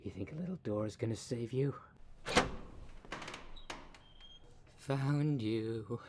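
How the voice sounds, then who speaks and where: A man's voice: mid-range voice, moderate pace, very noisy, natural voice. A man playing a cocky, cunning werecat with a smug, taunting, theatrical manner in an audio roleplay. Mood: angry, hunting. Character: cocky, cunning male werecat shapeshifter, boastful and menacing. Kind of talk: ASMR roleplay monologue.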